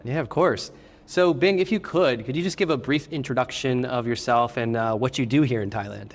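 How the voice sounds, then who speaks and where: A man's voice: mid-range voice, a little fast, big-room sound. A man hosting an interview, friendly and asking simple questions. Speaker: interviewer, host. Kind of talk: casual interview.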